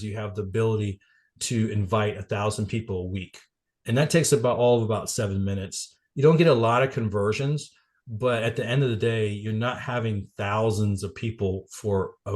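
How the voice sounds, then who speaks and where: A man getting over a cold, a little congested and coughing, giving a casual business presentation on a video call. He rambles with many fillers and restarts. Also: fairly low pitch. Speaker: guest presenter at a networking meeting.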